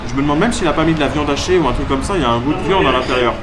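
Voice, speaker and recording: A man's voice: on the high side, racing, low-quality recording. A man chatting casually to the camera in a travel vlog, enthusiastic and full of fillers, at a busy local eatery. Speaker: travel vlogger. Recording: street crowd noise behind.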